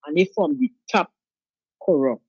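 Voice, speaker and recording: A woman's voice: high voice, huge pitch swings, moderate pace, creaky, a little mellow, husky, dry recording, lo-fi sound. A Guyanese woman calling in to a talk show, speaking emphatically and preaching her point. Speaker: caller. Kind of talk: call-in commentary. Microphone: phone call.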